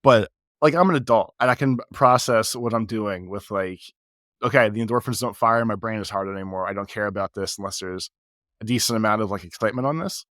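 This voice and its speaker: Male podcast co-host, low voice, stuffy with a cold, rambling and filler-heavy, dry close mic. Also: fairly quick, fairly bright tone, no room sound. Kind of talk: casual chat.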